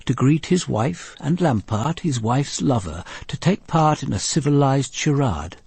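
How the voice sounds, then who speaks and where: An actor playing a grave, measured senior police detective who questions and narrates his thoughts in a radio drama. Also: mid-range voice, gravelly, a little mellow, breathy edge, no room sound, heavily acted.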